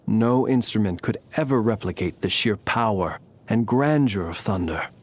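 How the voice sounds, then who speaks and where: A man voicing a calm, wry, ancient fae lantern keeper for a video game, speaking in formal, measured, theatrical phrases. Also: fairly low pitch. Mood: poetic, hushed. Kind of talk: scripted video game character voice lines.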